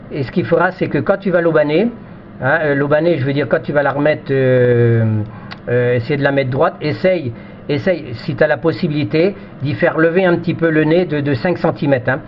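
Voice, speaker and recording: A man's voice: on the high side, normal speed. A man in a casual technical chat as an amateur radio operator, often repeating himself and hesitating as he explains. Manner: repetitive, hesitant phrasing. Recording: muffled band-limited radio audio, faint noise.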